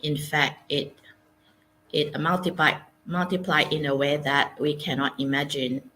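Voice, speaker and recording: A woman from Malaysia in her forties speaks with a notably deep voice, telling her story with lots of hesitations and fillers. Faint background noise runs behind her. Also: fairly even intonation, unhurried, fairly bright tone, low-quality recording. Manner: hesitant, many ums and uhs. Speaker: guest. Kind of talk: casual interview storytelling. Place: Melbourne.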